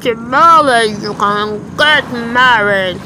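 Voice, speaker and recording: A woman voicing an ugly, scheming old father toad with a croaking voice in a storybook. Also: fairly high pitch, slow, noisy background. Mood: gloating.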